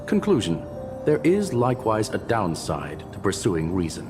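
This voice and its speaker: Animated low-pitched detached scholar, analytical, theatrical, precise, video game dialogue, background music. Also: male voice, normal speed. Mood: amused, analytical. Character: detached, erudite intellectual devoted to reason. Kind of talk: scripted video game monologue.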